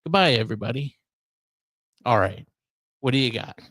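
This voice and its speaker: An American man with a medium-pitched voice hosts casual talk radio. He is easygoing and informal, talking as if to regular listeners. The recording is very dry. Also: expressive, moderate pace, a bit bright, dry recording. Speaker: radio show host.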